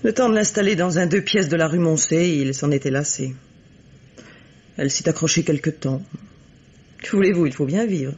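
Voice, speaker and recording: A dull, lo-fi radio mystery recording carries the husky voice of a haughty bourgeois woman. She is cynical and coolly disdainful, choosing her words with measured precision. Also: sits low, darkish tone. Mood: ironic. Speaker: radio drama actress. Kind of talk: French radio mystery acting.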